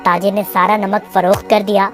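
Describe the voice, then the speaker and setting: A woman's voice: medium pitch, little pitch movement, a little fast. A woman reading a scripted moral tale for children as the storyteller. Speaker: narrator. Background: music.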